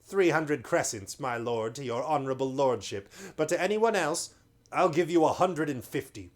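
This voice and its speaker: A man's voice: a little high, lively intonation, normal speed, pitched above the performer's own voice, natural voice. A man voicing a wheedling, coarse male slave dealer in a read-aloud story. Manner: wheedling, cockney-ish slang.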